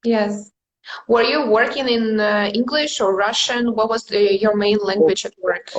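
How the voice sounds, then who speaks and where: A woman hosting a casual interview as a career coach. She sounds encouraging and fills pauses with um. Her pitch is in the middle range. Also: steady tone, dull audio. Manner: encouraging, with frequent ums.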